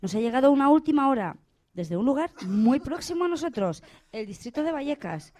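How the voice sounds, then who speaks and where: Actress as officious radio anchorwoman, slightly high pitch, announcer-style bossiness, for parody radio theatre. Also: big pitch swings, a little fast, darkish tone. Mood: officious, smug. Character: bossy, officious female radio news anchor. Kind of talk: parody radio drama acting.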